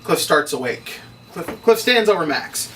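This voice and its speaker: Bright-voiced man reading screenplay directions. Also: a little high, animated, a little slow, soft background music. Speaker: stage-direction reader. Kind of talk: scripted screenplay narration. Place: room at a script table read. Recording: distant mic, faint background noise.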